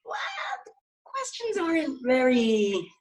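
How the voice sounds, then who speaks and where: A man reading the part of a quick-witted teenage boy who wants to make films, stammering and restarting. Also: very high voice, huge pitch swings, heavily acted. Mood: hesitant. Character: quick-witted teen boy, aspiring filmmaker. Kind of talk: table read of a sitcom script.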